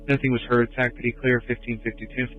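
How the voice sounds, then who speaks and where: A man's voice: medium pitch, flat delivery, fast, narrow, tinny audio. A man dispatching over police radio, reading out broadcasts in a matter-of-fact, procedural way. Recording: police scanner with faint music.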